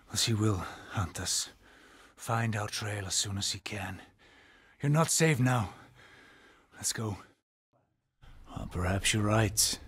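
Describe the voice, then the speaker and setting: A man voicing a grave, weary Norse guide in a video game, solemn and portentous, with a husky, breathy voice. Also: lowish voice, heavily acted. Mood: hushed, urgent. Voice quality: husky, breathy, often dropping to a whisper. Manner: solemn, deliberate, portentous delivery. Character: grave, weary male seer and guide of a haunted Norse land. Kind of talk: dramatic video game dialogue.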